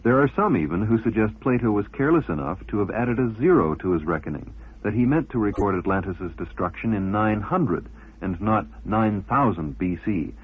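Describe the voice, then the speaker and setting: A man's voice: lowish voice, expressive, normal speed, a little hiss or hum. A man hosting a radio documentary, delivering scripted narration and questions. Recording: muffled, narrow-band old radio broadcast.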